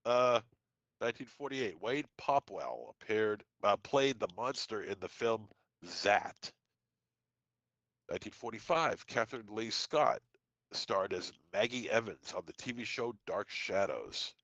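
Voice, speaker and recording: Podcast co-host, male, stuffy-nosed, lowish voice, dry mic, listy delivery. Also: lively intonation, a bit bright, no echo, lo-fi sound. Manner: lists dates and titles with quick asides. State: congested from a cold. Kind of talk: casual podcast segment reading trivia.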